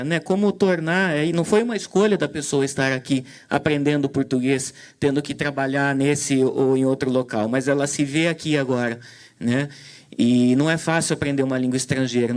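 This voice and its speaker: A man moderating a conference session, talking hesitantly with many fillers and restarts. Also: mid-range voice. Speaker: event moderator. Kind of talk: commentary on a talk.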